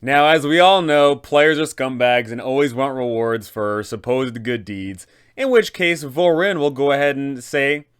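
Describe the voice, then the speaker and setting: A man's voice: mid-range voice, unhurried, hardly any pauses, clear, resonant tone. A man hosting a tabletop game guide, narrating advice for game masters in a casual, emphatic way. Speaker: host, game master advising other game masters.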